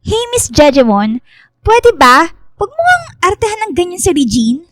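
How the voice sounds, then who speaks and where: A woman's voice: very high voice, very animated, normal speed, a bit bright, no room sound, exaggerated voice. A woman playing a snobbish, scheming young mean girl in a radio drama, haughty and taunting, mixing English into her lines. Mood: taunting, scornful.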